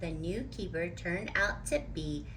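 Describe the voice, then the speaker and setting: A woman's voice: lowish voice, a little slow, a bit bright, slight background noise, poor audio. A woman reading a children's picture book aloud as a storyteller, expressively and with dramatic emphasis.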